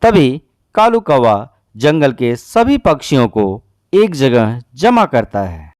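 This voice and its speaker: A man's voice: medium pitch, sing-song, slow, no echo, studio quality. A man narrating a scripted children's cartoon story.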